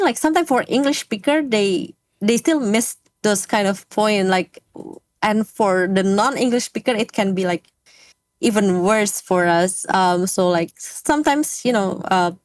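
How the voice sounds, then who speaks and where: A woman from Indonesia with an Indonesian accent, an interview guest speaking English as a second language, pausing often with fillers as she searches for words. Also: mid-range voice, dry recording. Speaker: guest, game industry speaker. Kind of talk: casual interview talk.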